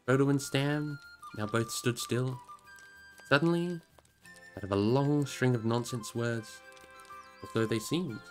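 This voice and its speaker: A man narrating a fantasy story in an expressive audiobook style. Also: medium pitch. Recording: music behind the voice.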